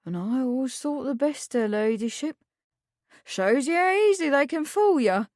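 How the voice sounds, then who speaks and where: A woman's voice: high voice, animated, normal speed, a little mellow, dry recording, studio quality, pitched above the performer's own voice. A woman voicing a middle-aged household servant with rustic country speech, blunt and matter-of-fact. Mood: gossipy.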